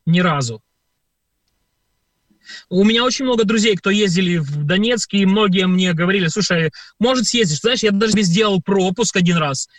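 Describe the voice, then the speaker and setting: A man from Ukraine talks through his answers in a radio interview, pausing often on filler sounds as he tells his stories. His voice sits fairly high for a man. The recording is dry, with no room sound. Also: no room sound. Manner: hesitant, many filler sounds, storytelling. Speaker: performer and guest.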